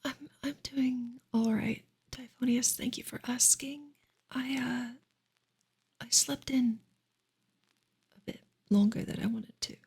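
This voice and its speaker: Female ASMR host, very breathy whisper, slow and gentle, informal livestream chat. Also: fairly high pitch, steady tone. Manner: soft, unhurried, gentle, halting with long pauses.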